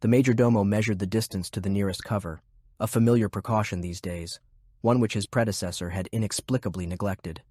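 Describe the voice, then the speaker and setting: A man reading scripted fiction narration in a measured, deliberate way. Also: fairly low pitch, steady tone, mellow, no echo, studio quality. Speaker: audiobook narrator. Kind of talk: scripted narration of a fantasy war novel.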